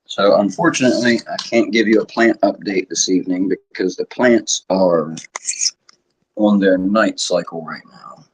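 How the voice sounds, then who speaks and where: A middle-aged man talks in a loose, rambling way to his viewers. His low voice is husky and strained from a sore throat. He keeps stopping to clear his throat. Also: normal speed, poor audio. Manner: frequent throat clearing, offhand swearing. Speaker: cannabis vlogger. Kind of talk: casual rambling chat to viewers.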